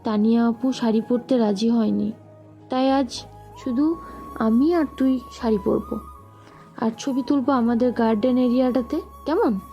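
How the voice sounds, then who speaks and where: An actress playing a lively young woman in an audio love story, chatty and coaxing with her close companion. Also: a little high, moderate pace, long pauses, lo-fi sound, close to an everyday voice. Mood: eager, admiring. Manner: chatty, persuasive. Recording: background music under the voice.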